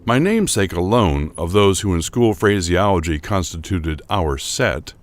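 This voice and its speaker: A man giving a dramatic first-person literary narration for a horror audio drama, with formal, measured phrasing. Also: low voice, animated, slight background noise.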